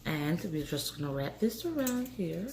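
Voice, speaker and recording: A hoarse woman in a casual craft vlog, calmly talking through each step as she works. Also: sits low, normal speed. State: hoarse, voice going. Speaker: craft vlogger. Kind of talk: casual DIY tutorial narration. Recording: roomy echo with faint noise.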